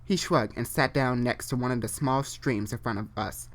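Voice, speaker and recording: A person reading fan fiction aloud live, stumbling and repeating phrases, and breaking off for chatty, informal asides. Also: medium pitch. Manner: halting, repeats and corrects phrases while reading. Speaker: online storyteller.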